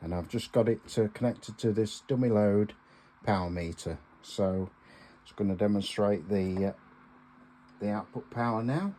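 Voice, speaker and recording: A man giving a casual hands-on demonstration as a radio hobbyist host, speaking in a halting, hesitant way with pauses. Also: lowish voice, warm tone, slight background noise.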